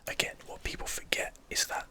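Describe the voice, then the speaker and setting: A man's voice: brisk, pushed and bright. A man whispers breathily in casual, rambling ASMR commentary while playing a video game.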